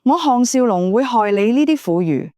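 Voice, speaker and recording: A woman's voice: fairly high pitch, animated, normal speed, dark tone, dry recording, studio quality, lightly acted. A woman voicing an upright, wary male hero in an ancient-China audiobook, formal and earnest. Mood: wry, guarded.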